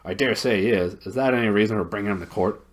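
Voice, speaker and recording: A man voicing a deaf, irritable old male dwarf lord who talks gruffly and loudly. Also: on the low side, fast talker, close to an everyday voice. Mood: cranky.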